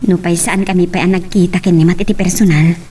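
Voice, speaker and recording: A woman's voice: fairly low pitch, flat delivery, fast, warm tone, slightly rough, distant mic. A woman playing a lovestruck young woman confiding in a friend in an Ilocano audio drama. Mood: earnest, confiding.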